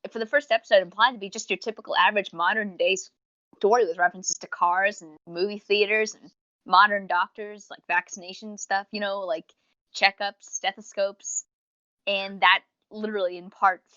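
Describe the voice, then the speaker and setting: Female podcast co-host, chatty and slangy, forward bright tone, very dry lo-fi sound. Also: mid-range voice, fairly even intonation, moderate pace, no echo. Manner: rambling, talkative, slangy, acts out imagined remarks. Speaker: podcast co-host reviewing audio dramas. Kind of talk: casual chat, fan discussion.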